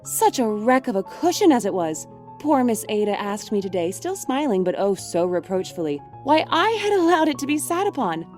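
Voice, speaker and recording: Lively, high-voiced wry college girl. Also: moderate pace, soft background music. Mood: wry exasperation. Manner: mock-solemn, animated storytelling. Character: wry, chatty young female college student.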